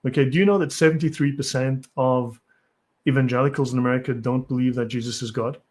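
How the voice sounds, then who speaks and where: A South African man hosts a live stream, reading from articles and answering chat. His voice sits at an ordinary middle pitch. He lectures bluntly and has little patience. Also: steady tone, quick pace, a little mellow. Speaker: channel host.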